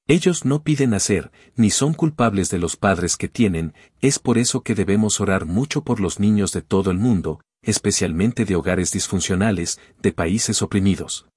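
A man's voice: lowish voice, husky, no echo. Cuban-accented middle-aged man, audiobook reading, dry close sound, earnest tone. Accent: Cuban Spanish. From Cuba. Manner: earnest, steady reading with long listing phrases. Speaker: audiobook narrator.

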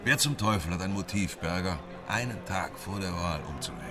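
An actor playing a sardonic, level-headed police investigator in a radio drama, talking in a dry, casual way. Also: male voice, deep voice, lively intonation, brisk, over music. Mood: pensive. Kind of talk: radio drama, conversational dialogue.

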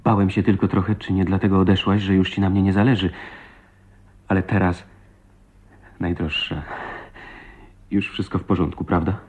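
Radio drama as ardent husband; rough husky low voice, emotional, faltering delivery, slightly muffled lo-fi sound. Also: male voice, little pitch movement, a little mellow. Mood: fervent confession. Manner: impassioned, emotional, halting delivery. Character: ardent proud man pleading his love to his wife. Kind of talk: 1980s Polish radio drama acting. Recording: dull lo-fi radio play recording.

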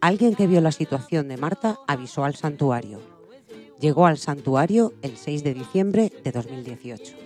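A woman's voice: low-pitched, animated, moderate pace, dark tone, soft background music. A woman from reading scripted narration as co-host of a programme.

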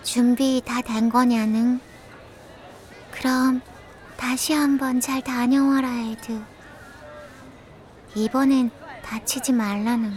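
A woman's voice: high-pitched, steady tone, slow, long pauses, darkish tone. A woman playing a playful, teasing young girl with cutesy, quirky speech in an audio drama. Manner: cutesy, casual. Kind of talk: Korean audio drama acting.

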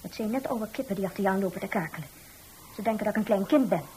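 A woman's voice: mid-range voice, very fast, mellow, breathy edge, low-quality recording. An actress playing a feisty young working girl in a radio drama, plain-spoken and emphatic. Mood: indignant. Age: young adult. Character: feisty, fed-up young working girl lodger, plucky and humorous. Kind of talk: radio drama dialogue in Dutch.

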